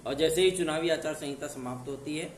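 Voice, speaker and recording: Hoarse man, slightly high voice, earnest and reassuring, asks listeners if they follow, distant from the mic, echoey room, faint background noise. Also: fairly quick. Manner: earnest, reassuring, with repeated tag questions. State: hoarse from a sore throat. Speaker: exam news channel host. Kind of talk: casual direct-to-camera update.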